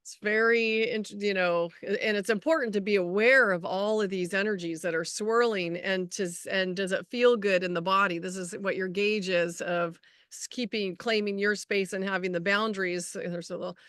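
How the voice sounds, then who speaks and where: A woman chatting casually as a podcast co-host, halting and looping back through her phrases as she talks. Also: mid-range voice, fairly even intonation, a bit bright, clean tone, no echo, clean studio sound. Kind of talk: casual conversational chat. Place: outdoors.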